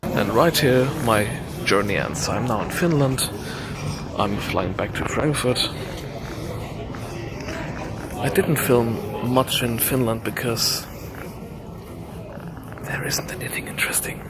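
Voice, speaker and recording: Man, German first language, travel vlogger, unhurried, playful, loud noise behind. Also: medium pitch. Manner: playful, jokey asides. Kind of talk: casual travel vlog narration.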